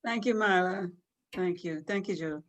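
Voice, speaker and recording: Low-voiced older woman. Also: moderate pace, a bit bright. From Guyana. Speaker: guest. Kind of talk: measured interview answers. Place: small room indoors. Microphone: video call.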